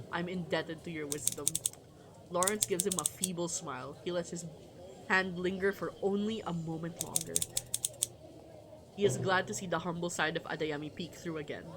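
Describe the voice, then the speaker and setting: A woman's voice: lowish voice, background noise. A woman livestreamer who reads a story game aloud and chats casually, with informal, wry commentary. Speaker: streamer reading a visual novel aloud. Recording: music behind the voice.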